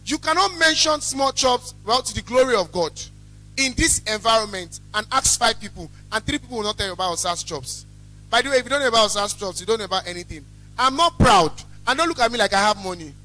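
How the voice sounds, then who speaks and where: A young man with a Nigerian accent gives a motivational business talk in a very high, bright, loud voice. He is blunt and emphatic, often repeating a phrase to drive it home. Faint music plays behind him. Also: animated, fast talker, poor audio. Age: young adult. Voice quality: loud, carrying. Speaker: small chops business owner, guest speaker. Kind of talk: motivational talk to a student audience.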